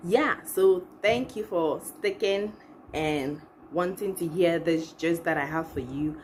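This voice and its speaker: A woman's voice: lowish voice, slow pace. A young woman with a Ghanaian accent chats casually and expressively to her viewers as a channel host, recorded in a living room. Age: young adult. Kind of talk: casual chat to camera. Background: background music.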